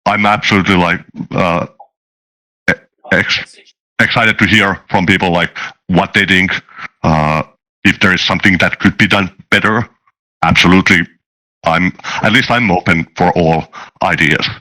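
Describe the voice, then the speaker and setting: Very deep-voiced man, halting talk. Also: fairly even intonation, bright, forceful tone, poor audio. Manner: hesitant, stop-start phrasing with repeated words. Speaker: game designer with a software background. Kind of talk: casual panel discussion.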